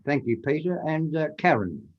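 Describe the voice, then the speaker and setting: A man's voice: on the high side, sing-song, moderate pace. A man moderating a town hall, giving brief handovers between speakers. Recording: distant, muffled.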